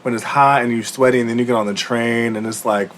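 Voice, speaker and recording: A young adult man, co-hosting a podcast, campy and wisecracking, his voice hoarse from a cold. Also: medium pitch, fairly even intonation, fast. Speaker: podcast co-host. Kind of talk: casual chat. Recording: faint background noise.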